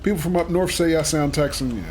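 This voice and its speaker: A man's voice: fairly high pitch, fast talker, darkish tone, slight background noise. A man streaming a game live, chatting casually with viewers, reading out their messages and greeting them by name. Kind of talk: casual livestream chat while playing.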